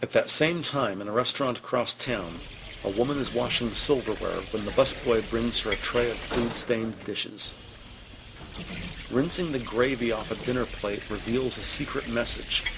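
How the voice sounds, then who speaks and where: Male audio drama narrator: creaky, medium-pitched voice, scripted scene-setting, noticeable ambience. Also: normal speed, background noise.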